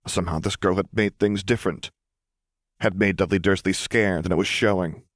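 Guy reading an audiobook story, low voice, really dry sound. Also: fairly even intonation, fairly quick, dry recording. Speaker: audiobook narrator. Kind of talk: scripted fiction narration.

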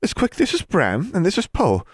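A man's voice: high-pitched, wildly swooping pitch, moderate pace, husky, dry recording, clean studio sound, voice pushed up, full character voice. Man putting on a raised, prim lady librarian. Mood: pleasant. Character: strict, proper woman librarian, politely firm.

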